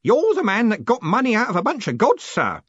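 A man's voice: huge pitch swings, a little fast, a bit bright, breathy edge, no echo, muffled recording, heavily acted. A man voicing a sly, cackling old man in an audiobook, eager and deferential, wheedling as he talks. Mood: gleeful, sly. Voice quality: cackling, high-pitched. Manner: deferential, wheedling, often adds "sir". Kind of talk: audiobook character voice.

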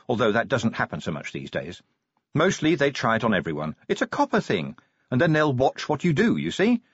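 A man voicing a brisk, world-weary, irritable female police sergeant in an audiobook. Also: mid-range voice, husky, dry recording, lo-fi sound, pitched above the performer's own voice. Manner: brisk, matter-of-fact.

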